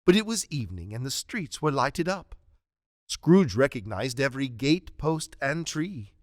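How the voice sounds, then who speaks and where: A man's voice: medium pitch, big pitch swings, unhurried, no echo, clean studio sound. A man narrating a radio drama in a dramatic, scripted storybook style.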